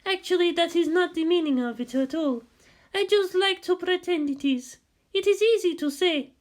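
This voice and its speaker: A narrator voicing a gentle, serenely respectful woman guide in an audiobook story. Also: very high-pitched, normal speed, voice pushed up.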